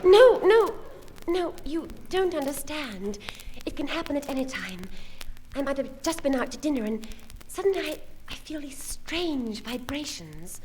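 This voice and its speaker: A woman playing a mysterious, theatrical psychic with a breathy manner in a radio comedy. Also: high voice, sing-song, unhurried, noisy background. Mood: mystical. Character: mysterious female psychic, dramatic.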